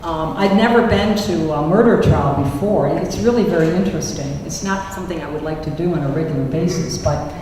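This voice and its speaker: A woman speaks as a poet at a public reading, her voice fairly low and mellow. The sound comes from a distant mic in a very reverberant hall. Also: fairly quick, hardly any pauses. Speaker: poet reading from own book and taking questions. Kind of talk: poetry reading and audience question-and-answer. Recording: echoey hall, distant mic, faint noise.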